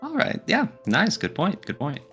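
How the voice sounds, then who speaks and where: A man's voice: fairly high pitch, wildly swooping pitch, normal speed, a bit bright, breathy edge, soft background music. A man co-hosting a podcast in casual discussion, posing questions and giving his opinions. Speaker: podcast co-host.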